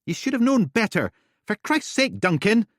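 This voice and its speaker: A male narrator voicing a shrill, furious middle-aged ex-wife in an audiobook, with a hectoring, scolding manner. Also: big pitch swings, moderate pace, dry recording, clean studio sound, lightly acted. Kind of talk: audiobook character voice.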